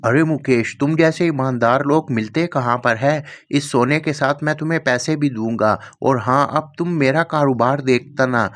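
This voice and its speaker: A man's voice: medium pitch, normal speed, few pauses, lightly acted. A man voicing a generous, hearty rich landlord in a cartoon story.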